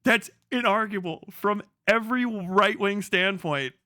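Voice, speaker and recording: Male livestream host, sharp bright tone, close dry sound; unscripted, emphatic, profane opinion delivery. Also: high-pitched, unhurried, dry recording. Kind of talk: casual unscripted political commentary, reacting to video clips.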